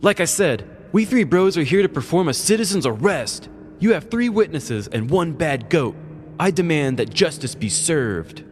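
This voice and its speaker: A man acts out an excitable young bro in a comic audio story. He pitches up high and insists on his tale with earnest, emphatic force, over background music. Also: normal speed, long reverb tail. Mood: righteous, demanding.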